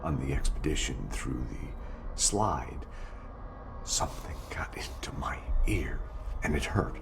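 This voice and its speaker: An actor playing an unhinged, paranoid agency director in a dramatic video game monologue, halting and haunted, often murmuring. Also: male voice, rumbling low, expressive, whispered, heavily acted. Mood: dazed, haunted.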